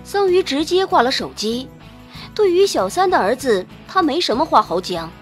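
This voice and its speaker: A woman's voice: sits high, very animated, normal speed, faint music behind. A woman narrating a novel in a scripted, dramatic audiobook style.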